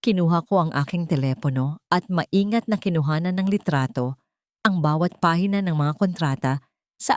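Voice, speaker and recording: Low-voiced woman narrating a drama, close and dry. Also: darkish tone, no echo. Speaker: story narrator. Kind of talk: scripted first-person dramatic narration.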